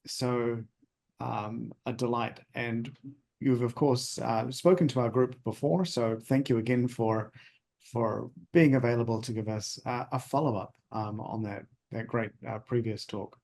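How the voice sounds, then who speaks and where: A man with a mid-pitched, gravelly voice introduces a guest speaker. His delivery is polite and halting, and he comes through a video call. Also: fairly even intonation, darkish tone. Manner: halting, polite, with frequent pauses. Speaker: host of a society talk. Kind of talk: formal introduction.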